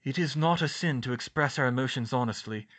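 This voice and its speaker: A man voicing a calm, wise, old male headmaster wizard, speaking in a measured, formal way. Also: mid-range voice, lightly acted.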